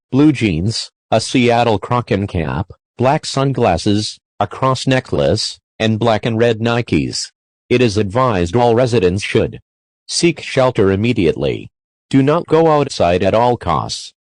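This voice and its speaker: A man's voice: fairly low pitch, a little mellow, no room sound. A man's synthetic-sounding voice reading scripted emergency alert messages as a formal announcer.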